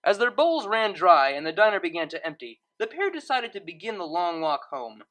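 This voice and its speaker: Bright, animated female story narrator. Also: lowish voice, fairly quick. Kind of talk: expressive scripted narration.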